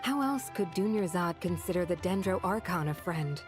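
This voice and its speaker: Casting: actress as steady, loyal desert woman for a game, lowish register, earnest delivery. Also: normal speed, over music, lightly acted. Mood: composed, earnest. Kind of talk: video game voice acting.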